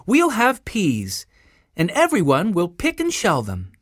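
A woman's voice: fairly low pitch, huge pitch swings, measured pace, dry recording, clean studio sound, voice pushed up, heavily acted. A woman voicing a sensible, cheerful older girl who organizes her siblings in a children's story. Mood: cheerful, practical.

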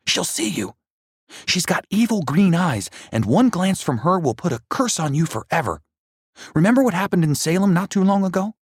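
A man voicing frightened young schoolboys who yell in a shrill, frantic way. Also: big pitch swings, moderate pace, husky, dry recording, studio quality. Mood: fearful. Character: frightened young boy classmates, excitable.

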